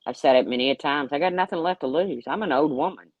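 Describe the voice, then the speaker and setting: A woman's voice: low-pitched, quick pace, a little mellow, lo-fi sound. A woman in her late fifties hosting a casual livestream, talking bluntly and sarcastically as she rambles and answers her chat. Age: 50s. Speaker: YouTube livestream host.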